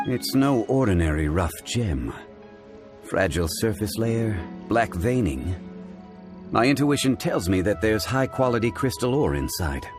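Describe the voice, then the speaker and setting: A deep-voiced, composed gentleman scholar from a video game. He speaks formally and with measured, erudite phrasing, his tone moving expressively over clear background music. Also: very animated. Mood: analytical. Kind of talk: video game character acting.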